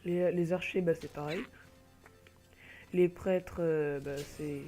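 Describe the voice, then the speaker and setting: A man's voice: fairly high pitch, steady tone, takes it slowly, mellow, soft background music. A man with a cold, talking casually and hesitantly over gameplay from a home computer mic, rambling with many filler sounds. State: has a cold. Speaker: gamer presenting an online game.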